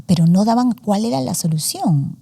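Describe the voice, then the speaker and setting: A woman's voice: medium pitch, expressive, moderate pace, gravelly, mellow, husky. A woman in her forties, a student journalist, talking through her story in a casual interview with many fillers and English words mixed in. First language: Spanish. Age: 40s.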